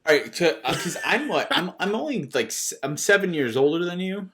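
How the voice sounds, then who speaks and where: A man's voice: a little high, very animated, fairly quick, a bit bright. A man of 37 chatting casually as a podcast co-host, often restarting his phrases as he talks. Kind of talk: casual unscripted chat.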